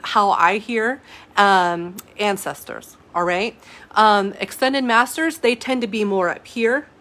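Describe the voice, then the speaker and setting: A woman's voice: mid-range voice, fairly bright tone. A woman in the middle of her own range teaching a live online class on spiritual coaching. She talks in a loose, chatty way, full of fillers and asides to the people watching. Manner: casual and chatty, many fillers and asides to viewers. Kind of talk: live-stream teaching, reading chat comments.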